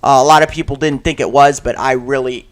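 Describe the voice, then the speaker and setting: A man with a raspy voice rambling casually about game controllers while playing a shooter, with many fillers and restarts and sudden loud outbursts at the game. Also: medium pitch, fairly even intonation, brisk. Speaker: gaming channel host.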